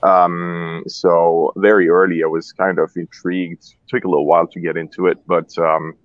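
A man's voice: deep voice, level tone, moderate pace, no echo, muffled recording. A man in his thirties from Switzerland, a cigar maker guest talking loosely and candidly on a radio show, full of fillers and restarts. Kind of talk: relaxed interview talk. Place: radio studio.

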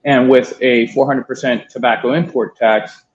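A man's voice: medium pitch, moderate pace, a little hiss or hum. Laid-back man from Miami, dull sound. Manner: relaxed, swears casually, quotes others. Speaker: guest, cigar importer. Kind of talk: casual storytelling interview.

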